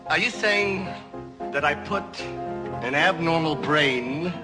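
An actor playing an exasperated man in a comedy film, drawing his words out with halting, outraged emphasis. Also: on the high side, music in the background. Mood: mounting outrage. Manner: halting, drawn-out, emphatic delivery.

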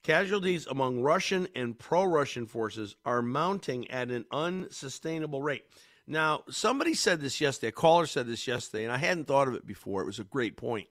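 A man's voice: mid-range voice, animated, fairly quick, fairly bright tone. Congested man hosting talk radio. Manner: rambling, many fillers and restarts, chuckles. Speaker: radio talk show host. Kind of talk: casual talk radio commentary.

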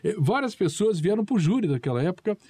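Older man, higher-pitched mellow storyteller. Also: big pitch swings, quick pace, breathy edge, no echo. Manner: storytelling, acts out quoted dialogue. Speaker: guest, former TV show producer. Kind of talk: anecdotal recollection.

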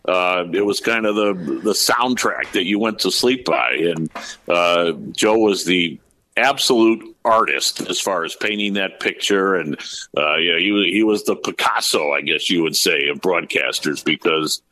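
A man in his late fifties, a sports broadcaster, reminiscing agreeably as an interview guest in a measured way. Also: sits low, bright, forceful tone, a little hiss or hum, rough recording. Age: late 50s. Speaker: podcast guest, professional basketball play-by-play broadcaster. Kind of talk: reflective interview conversation.

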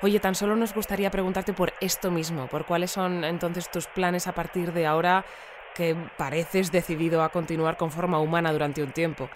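A woman's voice: fairly low pitch, steady tone, moderate pace, noisy background, lightly acted. A woman playing a polite, earnest news presenter who interviews and explains wordily in an audio drama. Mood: puzzled, courteous. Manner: courteous, wordy, explanatory.

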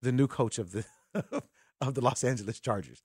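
An American man hosting sports talk radio, talking with callers in an informal, animated, conversational way. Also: mid-range voice, moderate pace, husky, no room sound, studio quality. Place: radio studio. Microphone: close broadcast microphone.

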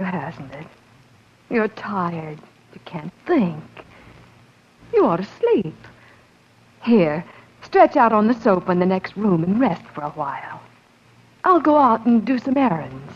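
An actress playing an eager, ambitious fiancée, husky and persuasive, in 1940s radio drama style. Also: female voice, lowish voice, heavily acted. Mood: soothing. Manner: gushing, persuasive, soothing. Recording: dull 1940s radio recording.

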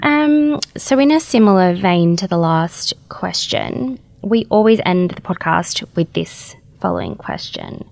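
A woman's voice: lowish voice, unhurried, gravelly, hardly any pauses, darkish tone, dry recording. A woman hosting a podcast, giving short affirmations and asking the closing question. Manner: brief, affirming, asks questions. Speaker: podcast host. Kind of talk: podcast interview.

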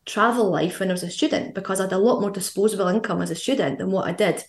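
A woman in her thirties with a Scottish accent, chatting as a guest on a podcast, quick and full of fillers. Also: medium pitch, roomy sound. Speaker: guest, fitness coach. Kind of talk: casual podcast interview.

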